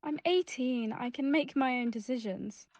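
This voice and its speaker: A woman's voice: a little high, moderate pace, dry recording, dull audio, natural voice. A woman playing a defiant, earnest eighteen-year-old rich girl in an audio drama.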